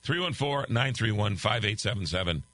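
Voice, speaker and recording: A man's voice: lowish voice, expressive, moderate pace, a bit bright, dry recording. An older man hosting a call-in talk radio show, loosely reading listener texts and ad copy while losing his voice. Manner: loose, conversational, reads listener texts aloud. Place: radio studio. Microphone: studio microphone.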